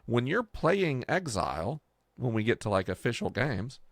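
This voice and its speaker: A man's voice: medium pitch, sing-song, normal speed, gravelly, no echo, clean studio sound. A man talking casually and conversationally as a podcast host, explaining his project. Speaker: podcast host and game creator.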